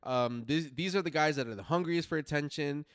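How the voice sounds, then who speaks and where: A man co-hosting a podcast in casual, slangy talk, pausing and rephrasing as he explains his notes. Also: fairly high pitch, clean tone, dry recording, studio quality. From Philippines or Filipino family. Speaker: podcast co-host. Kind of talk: casual chat, summarizing notes.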